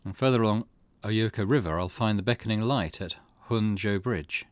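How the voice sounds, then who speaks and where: A man's voice: fairly low pitch, normal speed, no room sound. A man hosting a let's play, chatting casually, thinking aloud and reading game text out as he goes. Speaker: gaming channel host. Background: game music.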